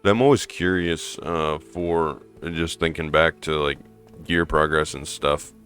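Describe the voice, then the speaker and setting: A hoarse man playing a game and thinking aloud in a rambling, casual way, with fillers and restarts. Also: extremely low pitch, fairly even intonation, a little slow, a bit bright, soft background music. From American Midwest. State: hoarse from allergies. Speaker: gaming streamer. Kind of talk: casual gameplay commentary.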